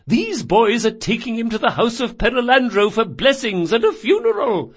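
Man putting on a fierce, shrill old grandmother. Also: very animated, moderate pace, husky, exaggerated voice. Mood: furious, commanding. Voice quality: strong carrying voice. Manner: emphatic, commanding. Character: bossy, fierce old grandmother shopkeeper with motherly streak.

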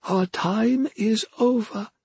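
A man voicing a solemn old ghostly mentor in an audiobook, with a thin, strained voice and slow, formal, drawn-out phrasing. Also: high-pitched, vocal fry, a little mellow, husky, no echo, pitched above the performer's own voice, exaggerated voice. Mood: solemn farewell. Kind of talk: audiobook character acting.

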